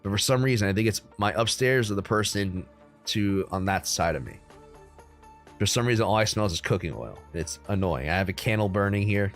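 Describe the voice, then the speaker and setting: Laid-back young man with a lowish voice. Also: fairly quick, faint music behind. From United States. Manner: relaxed, rambling, frequent ums and likes. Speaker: crypto livestream host. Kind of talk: casual livestream chat answering viewers. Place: room at home.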